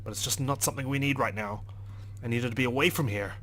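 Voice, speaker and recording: A man's voice: mid-range voice, fast, a bit bright, slight background noise. A man doing a let's play, reading a visual novel aloud with theatrical expression. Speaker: let's player. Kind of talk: dramatic read-aloud of a visual novel with asides.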